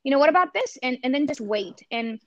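Earnest woman with an Indian accent, high and clear, on a slightly dull video call line. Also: animated, fairly quick, dry recording. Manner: earnest, thoughtful, frequent restarts. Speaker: campus ministry missionary. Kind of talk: reflective panel talk. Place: home room. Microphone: laptop webcam on a video call.

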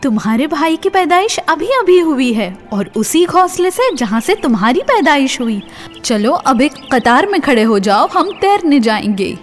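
A woman voicing a gentle, patient mother duck, calm and motherly, in a children's storybook tale. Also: high-pitched, faint music behind. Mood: firm, chiding.

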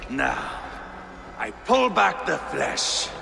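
Game villain casting: sadistic Viking, creaky voice, drawn-out theatrical menace, music behind. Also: male voice, fairly high pitch, very animated, full character voice. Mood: cruel relish. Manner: theatrical, taunting, savouring slow delivery. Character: gleefully cruel Viking warrior, vengeful and battle-mad. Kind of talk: dramatic video game acting.

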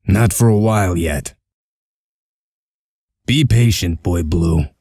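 A low, closely recorded male voice, acted by a man for a comic dub. He is a shrewd, stern crew leader. His delivery is curt and authoritative, made for giving orders. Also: slow pace, creaky, dark tone, dry recording, clean studio sound, exaggerated voice. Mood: patient, curt.

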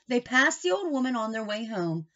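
Middle aged lady doing kids storytime, bright expressive voice, kinda high, dull lo-fi webcam sound. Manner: animated, slow, questioning asides to young listeners. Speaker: storybook reader. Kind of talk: expressive children's picture book read-aloud. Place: small wood-panelled room indoors.

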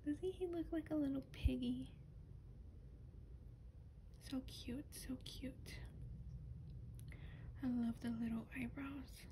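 Soft whispery woman, slow ASMR. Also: sits high. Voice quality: soft, breathy, often whispered. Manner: gentle, unhurried. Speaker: doll collector showing her collection. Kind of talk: soft-spoken ASMR product show-and-tell. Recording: noisy lo-fi ASMR recording.

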